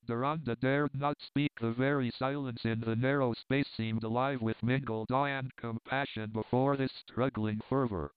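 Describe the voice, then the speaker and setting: A man narrating a classic novel in a measured, steady reading. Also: medium pitch, smooth, no room sound. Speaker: audiobook narrator. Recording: dry, tinny phone-like sound.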